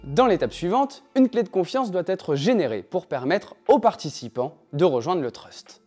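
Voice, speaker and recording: A man with a slightly high voice narrates a scripted tutorial. He explains in a clear, instructional manner, taking things step by step. Light music plays behind him. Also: very animated, moderate pace, darkish tone. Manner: clear didactic step-by-step delivery. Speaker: tutorial presenter. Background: background music.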